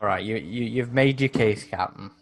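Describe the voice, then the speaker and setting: A man's voice: on the low side, normal speed. A man talking and bantering casually as a player in a tabletop roleplaying game.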